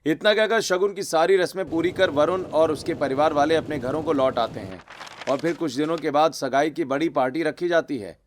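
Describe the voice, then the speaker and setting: A man's voice: a little high, normal speed, a little hiss or hum. A man narrating a scripted cartoon story.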